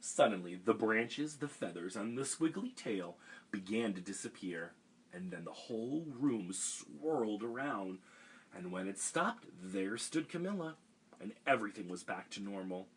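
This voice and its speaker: A man reading a picture book aloud for a children's storytime, animated and expressive. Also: fairly low pitch, a little slow, slightly rough, low-quality recording.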